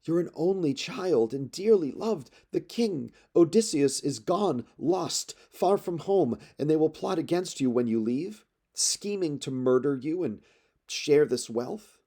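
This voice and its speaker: Elderly nursemaid played by a man, epic storybook: high-set voice, distraught, loving, pleading. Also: animated, unhurried, mellow. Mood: pleading, distressed. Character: anguished, loving old nursemaid woman, wailing and pleading.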